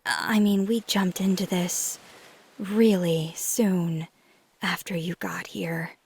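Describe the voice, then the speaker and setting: A husky, breathy vampire woman, acted by a female performer murmurs close and intimate in an ASMR roleplay. She takes her time, with a gravelly fry at the edges of her half-whisper. Her manner is protective and reassuring, with a flirty, teasing streak. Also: on the low side, steady tone, full character voice. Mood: tender, reassuring. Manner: tender, reassuring, unhurried. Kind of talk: ASMR roleplay, intimate one-sided dialogue.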